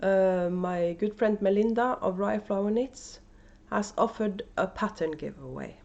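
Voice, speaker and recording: A woman's voice: medium pitch, unhurried, creaky, a little mellow, muffled recording. A woman with a Norwegian accent chats casually and unscripted as host of a knitting video podcast at home, with many fillers and restarts. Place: at home, indoors. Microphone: camera microphone.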